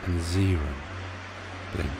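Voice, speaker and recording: A man narrating a guided sleep meditation in a soothing, gentle, unhurried way, leaving pauses between short phrases. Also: low voice, fairly even intonation, noisy background. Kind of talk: guided sleep meditation, hypnotic relaxation countdown. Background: music.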